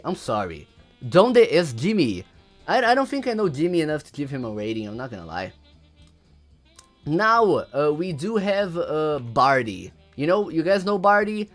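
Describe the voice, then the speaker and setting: Young male streamer, Portuguese first language, slightly high voice, rambling casual talk, faint music. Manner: rambling, repeats words, reads chat aloud. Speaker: livestreamer. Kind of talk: casual livestream commentary.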